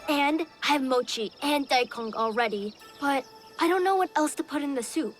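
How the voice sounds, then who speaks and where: Lady doing an eager game kid, really high voice, chatty and earnest, bit of music behind. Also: moderate pace, lightly acted. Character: eager, earnest youngster wanting to please a mentor. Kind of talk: video game cutscene acting.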